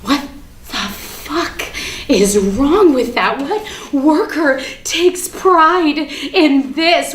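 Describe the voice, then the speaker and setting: A woman acting a bitter, manic, sardonic character in a theatrical dramatic monologue at a staged reading. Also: high-pitched. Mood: indignant. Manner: theatrical, emphatic, repetitive, sardonic, swinging from hushed to ranting. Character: bitter, manic woman confessing her war on a Barbie doll. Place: indoor room, live staged reading. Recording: echoey room with background hiss.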